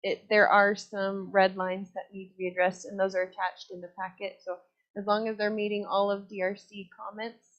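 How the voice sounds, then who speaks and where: A woman with a medium-pitched voice presents recommendations to a civic board. The sound is somewhat muffled and lo-fi. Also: level tone, moderate pace, a bit bright. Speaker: city planning staff presenter. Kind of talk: presenting recommendations at a public meeting. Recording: dull lo-fi meeting audio.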